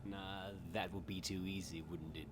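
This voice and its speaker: An actor playing a cryptic, probing stranger who talks in riddles with a theatrical, taunting manner. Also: male voice, sits low, flat delivery, normal speed, close to an everyday voice. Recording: echoey, distant, noisy room.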